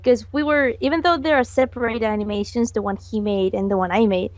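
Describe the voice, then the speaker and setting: A woman's voice: on the high side, fairly even intonation, brisk, no echo. A woman animator answering interview questions, hesitant and giggly, with many fillers. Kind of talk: casual online interview.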